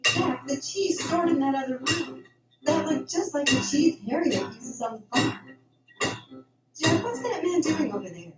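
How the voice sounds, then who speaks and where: A woman's voice: sits high, sing-song, unhurried. A woman playing an alarmed, inquisitive young female sidekick in an audio drama, urgent and questioning. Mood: puzzled, urgent. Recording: roomy, distant, loud music underneath.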